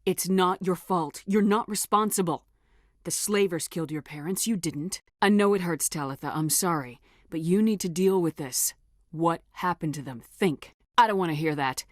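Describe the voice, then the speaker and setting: A steely female starship commander, acted by a woman for a video game, with a lowish voice. She speaks firmly and directly, with controlled authority and compassion beneath it. The sound is dry, free of room echo. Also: natural voice. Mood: gentle, probing, then stern, urging. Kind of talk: scripted video game dialogue acting.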